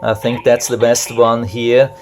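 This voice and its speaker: A man's voice: medium pitch, flat delivery, unhurried, a loud crowd. A man giving a casual, unscripted product demonstration in a matter-of-fact, explanatory way. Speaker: product reviewer.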